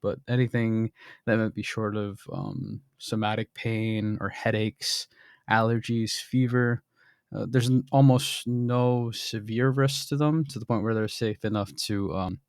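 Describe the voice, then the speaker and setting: A young man casually walking through a software demo, explaining as he goes, with frequent ums and throat clearing. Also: on the low side, steady tone, a little slow, dry recording, clean studio sound. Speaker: software developer demoing his bot. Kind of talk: screen-recorded software demo.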